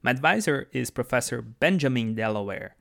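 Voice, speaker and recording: Man, Brazilian Portuguese accent, twenties, podcast host, enthusiastic, gravelly, mid pitch. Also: animated, brisk, fairly bright tone. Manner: enthusiastic, chatty, with frequent restarts and asides. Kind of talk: solo podcast monologue.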